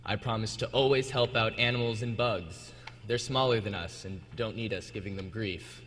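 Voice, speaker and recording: A man's voice: lowish voice, normal speed, a bit bright. A teenage young man reading a pledge aloud during a church service. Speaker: youth reader at a church service. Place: large indoor church sanctuary. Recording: echoey church hall, faint hum.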